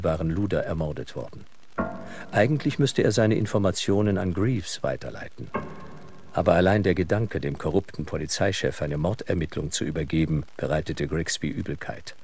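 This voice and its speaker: A man with a husky voice delivering scripted narration as the narrator of a radio drama. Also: sits low, normal speed, a little mellow, soft background music.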